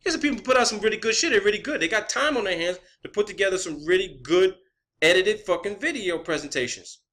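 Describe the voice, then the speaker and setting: A man's voice: sits high, lively intonation, quick pace, a bit bright. A man delivering a confrontational, blunt, profanity-heavy rant as an online video creator. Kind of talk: profane spoken rant to camera or viewers.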